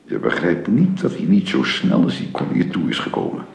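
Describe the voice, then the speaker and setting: A man's voice: very deep voice, wildly swooping pitch, normal speed, creaky, a little mellow, husky, exaggerated voice. An actor playing an earnest, protective middle-aged father in a radio drama, speaking in a solemn, paternal way. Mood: gentle, grave.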